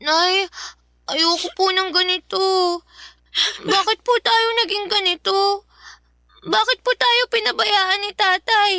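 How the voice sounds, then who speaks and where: A woman's voice: very high-pitched, fairly even intonation, takes it slowly, long pauses, pushed and bright, no room sound, studio quality, full character voice. A woman playing a distressed, devoted young daughter in a radio drama, pleading and plaintive. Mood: tearful, hurt. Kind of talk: Filipino radio drama acting.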